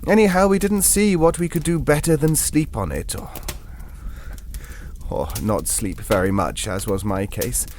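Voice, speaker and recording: An actor with a British accent playing a hesitant, reassuring gentleman who tells an eerie tale in an audio drama, halting and conversational. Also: medium pitch, slight background noise, close to an everyday voice. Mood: reflective, uneasy. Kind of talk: audio drama ghost story narration.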